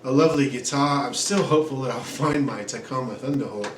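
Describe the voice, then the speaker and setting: Male, 40s, casual home gear reviewer, mid-pitched, rambling delivery, distant camera audio with room echo. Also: fairly quick, noisy background. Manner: relaxed, rambling, many ums and uhs. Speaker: guitar reviewer. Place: small room at home, indoors. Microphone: camera mic at a distance. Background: acoustic guitar playing.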